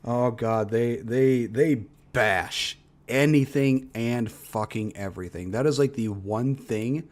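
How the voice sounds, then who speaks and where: A man streaming his reactions in a loose, unscripted way. He sits at a middle, ordinary pitch and delivers flat, blunt opinions heavy with swearing, sometimes trailing off into mumbles. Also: takes it slowly. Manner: blunt, profane ranting with frequent swearing. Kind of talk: casual reaction commentary.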